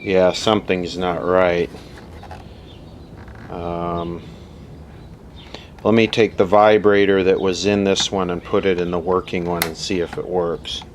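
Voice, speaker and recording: Male hobbyist repairer, low matter-of-fact voice, casual off-the-cuff demo, faint noise on amateur camera audio. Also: little pitch movement, measured pace, pauses often. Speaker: vintage radio repair hobbyist presenting. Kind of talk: casual unscripted workbench demonstration. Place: indoor workshop at a workbench.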